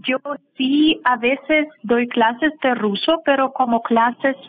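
A Russian woman with a Russian accent, speaking Spanish as a second language. She is a guest in an interview, halting and searching for words with frequent fillers. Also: sits high. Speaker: guest, language teacher and film translator. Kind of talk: casual interview over a call. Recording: tinny phone line.

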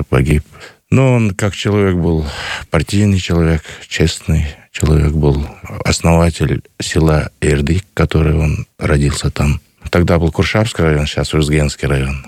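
A man, answering a radio interviewer earnestly and slowly, with many pauses. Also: very deep voice, a little mellow, slightly rough, no room sound, clean studio sound. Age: 50s. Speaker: guest, grandson of a missing soldier.

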